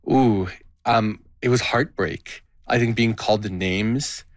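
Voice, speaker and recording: Reflective, low-voiced man, dry sound. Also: unhurried, no echo. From Canada. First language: Gujarati. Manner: reflective, earnest, with frequent fillers and restarts. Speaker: guest, tabla player. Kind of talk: personal interview.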